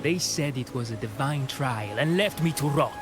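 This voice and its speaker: A man's voice: mid-range voice, expressive, moderate pace, close to an everyday voice. A man voicing an embittered, defiant ruined merchant in a video game, aggrieved and emphatic. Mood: bitter, defiant. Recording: background music under the voice.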